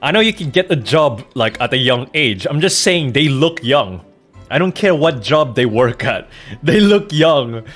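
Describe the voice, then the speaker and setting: A man commentates on a game in a casual, blunt way. His pitch moves around in a lively, animated manner. Faint music plays behind him. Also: unhurried. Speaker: streamer playing and reading out a game.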